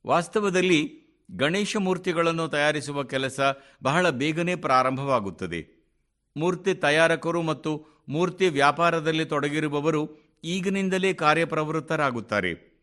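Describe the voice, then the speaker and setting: A man reads a formal scripted address for radio, in measured, deliberate phrases with clear pauses. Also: a little high, lively intonation, a bit bright. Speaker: radio reader of a translated speech.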